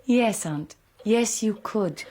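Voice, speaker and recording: An actress playing an earnest, gentle but frank young niece, coaxing and candid, in a radio drama. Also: female voice, medium pitch, slow, close to an everyday voice.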